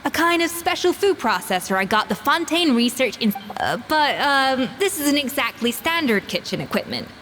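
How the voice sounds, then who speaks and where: A woman's voice: sits high, big pitch swings, normal speed, few pauses, a bit bright. An actress playing a refined woman chef who speaks in a dry, composed, exacting way. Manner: crisp, composed, dryly stern. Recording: game audio with faint music.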